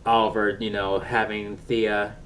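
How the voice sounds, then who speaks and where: A man giving a casual, unscripted review as he chats, halting and rambling as he searches for words. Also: fairly low pitch, little pitch movement, a bit bright. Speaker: TV episode reviewer. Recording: distant mic with faint background noise.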